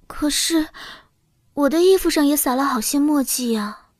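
An actress playing a sly, feisty young princess consort who feigns innocence in an audio drama, sharp-tongued beneath it. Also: female voice, high voice, normal speed, lightly acted. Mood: mock innocent.

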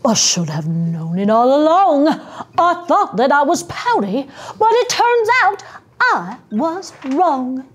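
Give this to a mopey male fish from a storybook , acted out by a woman. He is mournful and sulky, stretching words slowly in a singsong way. The pitch swings about expressively. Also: very animated, exaggerated voice. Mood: relieved. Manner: mournful, drawn-out, singsong blubbing.

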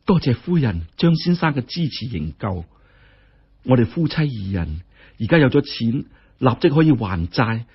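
A man's voice: mid-range voice, very animated, moderate pace, slightly rough, full character voice. A man voicing a humble, grateful, middle-aged poor woman in a story, earnest and deferential. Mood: humble gratitude. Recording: dull, muffled lo-fi audio.

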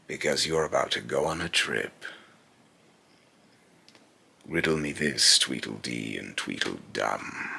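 A man's voice: very deep voice, bright, forceful tone, exaggerated voice. A young man playing a menacing, scornful godlike villain in pompous, theatrical monologue, recorded in a small room at home. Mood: menacing, taunting. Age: young adult. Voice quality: gravelly, raspy. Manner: slow, pompous, theatrical delivery. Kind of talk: dramatic villain monologue, rehearsed voice lines.

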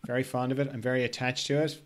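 A man's voice: mid-range voice, little pitch movement, fast. An older man, easygoing and playful, casually hosting an interview and reading out questions on a video call. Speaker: podcast host, interviewer.

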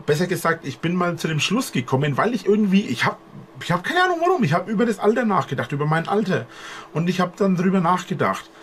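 Webcam streamer, man, 20s, animated pitch, rambling, faint room hum. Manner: long, halting explanations with restarts. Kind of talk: rambling monologue to camera. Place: indoor bedroom with posters.

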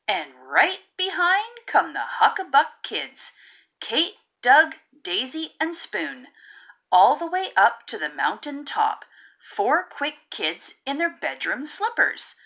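Female children's storybook narrator, unhurried and singsong, with lively swooping pitch and theatrical emphasis. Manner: rhythmic, theatrical, drawn-out emphasis. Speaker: read-aloud narrator of children's picture books. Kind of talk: expressive storybook reading.

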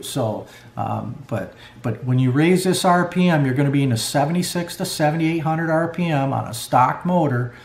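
Plainspoken middle-aged man in echoey garage. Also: fairly high pitch. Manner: plainspoken, rambling with fillers. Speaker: snowmobile clutch mechanic. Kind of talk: hands-on workshop tutorial. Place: garage workbench. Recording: echoey workshop.

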